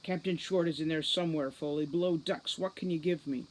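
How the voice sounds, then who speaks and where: A woman reading a gruff, short-tempered male fairy police commander in a storybook, with a barking, commanding manner. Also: sits low, steady tone, a little fast, natural voice. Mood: urgent.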